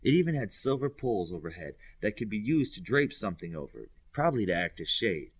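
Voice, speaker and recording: A man's voice: fairly low pitch, very animated, a little fast, breathy edge. A man reading scripted narration from a superhero novel as an audiobook reader.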